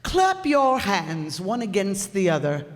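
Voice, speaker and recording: A man's voice: high voice, huge pitch swings, full character voice. A man playing a conceited, vain man who craves admiration, pompous and preening, in a radio play. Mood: delighted. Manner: pompous, preening, drawn-out delivery. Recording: echoey hall, long reverb.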